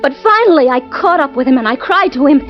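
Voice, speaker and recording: Brief: scared young wife, pleading, high voice, lo-fi radio. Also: female voice, lively intonation, fast. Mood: anxious. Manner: anxious, pleading. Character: frightened young wife recounting a nightmare. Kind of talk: 1940s radio acting. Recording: dull old radio recording with faint music.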